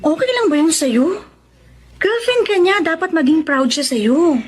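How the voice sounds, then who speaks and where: A woman's voice: very high-pitched, animated, a little slow. A woman acting a nosy, skeptical older-sister figure in a Tagalog radio drama, sassy and questioning.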